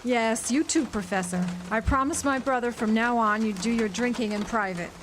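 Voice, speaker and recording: A woman's voice: a little high, normal speed, lightly acted. An actress playing a tough, jaded small-town diner woman, dry and sardonic, in a noir radio drama. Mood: matter-of-fact. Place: outdoor amphitheater stage. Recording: faintly noisy outdoor live recording.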